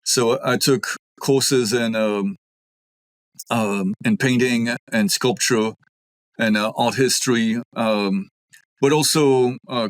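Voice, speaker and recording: A man's voice: medium pitch, no echo, rough recording. A middle-aged Belgian man, French his first language, speaking as an architect guest in an interview, thoughtful with many ums and restarts. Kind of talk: conversational interview.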